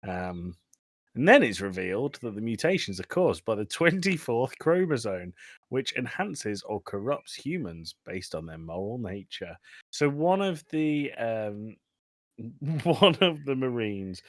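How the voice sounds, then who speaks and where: A man's voice: mid-range voice, very animated, a little slow, dry recording. A man hosting a podcast, casually recapping a film with informal, sweary, dry humour. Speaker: podcast host.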